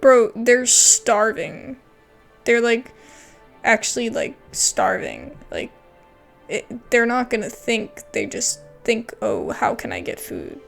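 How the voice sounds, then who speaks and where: A young woman with a high, slightly creaky voice talks casually over a video game. She is excitable and slangy, reacting aloud with big swings in pitch, while faint music plays behind her. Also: slow. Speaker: gameplay commentator.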